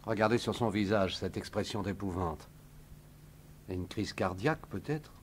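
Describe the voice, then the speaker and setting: An actor playing a detective's sober gentleman companion and narrator in a French radio mystery, speaking in a measured way. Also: on the low side, slight background noise, natural voice. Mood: hushed, grave.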